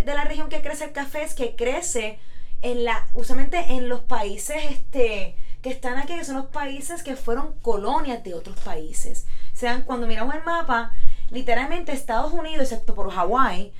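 Enthusiastic woman, higher voice. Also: normal speed, fairly bright tone. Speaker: podcast co-host. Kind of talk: casual chat.

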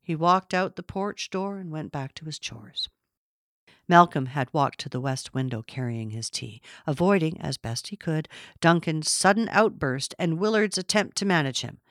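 A woman's voice: low-pitched, normal speed, a bit bright, no echo, clean studio sound. A woman narrating a literary story from a script. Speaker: audiobook narrator.

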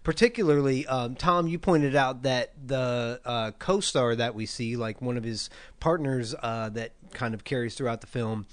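A man's voice: mid-range voice, normal speed. A man co-hosting a casual film discussion, speaking in an opinionated, emphatic way. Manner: opinionated, emphatic, swears freely. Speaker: podcast co-host.

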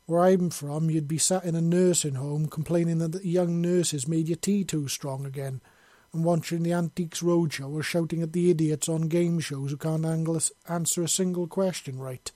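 Man doing a Scottish accent, old soldier, profane, blustering, high-pitched, dry recording. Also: fairly even intonation, moderate pace, warm tone, no echo, close to an everyday voice. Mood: defiant. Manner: blustering, swearing, defiant. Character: older Scottish male ex-soldier, brash and profane.

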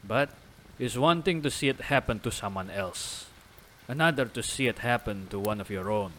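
A man's voice: medium pitch, animated, moderate pace, fairly bright tone, a little hiss or hum, natural voice. An actor playing a stern, devout village father who speaks formally and solemnly in an audio drama. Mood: solemn, grieving. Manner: formal, solemn, archaic phrasing.

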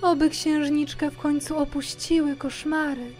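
A woman's voice: high voice, flat delivery, normal speed, darkish tone, faint music behind, natural voice. A woman voicing a kindly little fox in a children's audio play.

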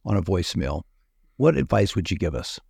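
A man's voice: sits low, a little fast, creaky, husky, dry recording, studio quality. A man hosting a conversation, mostly affirming with short replies and asking follow-up questions. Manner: affirming listener with steady "mm-hmm"s. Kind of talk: casual conversation.

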